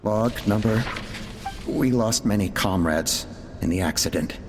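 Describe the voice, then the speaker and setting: A man's voice: on the low side, measured pace, background noise, lightly acted. An actor playing a driven, ruthless researcher who solemnly and dramatically recites his journal in a video game. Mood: grave, fanatical. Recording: long reverb, music underneath.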